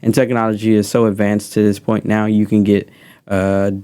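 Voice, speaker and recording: A man teaching music recording in a relaxed, rambling way, explaining a studio session. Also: lowish voice, normal speed, smooth. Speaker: music mentor. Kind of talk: casual teaching.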